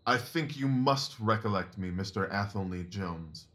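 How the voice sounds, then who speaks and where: A brisk, self-assured Victorian detective acted by a man in an audio drama. His voice is on the low side, and he lays out his reasoning precisely, like an instructor. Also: fairly bright tone. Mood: cool, courteous. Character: brisk, confident, analytical male Victorian detective.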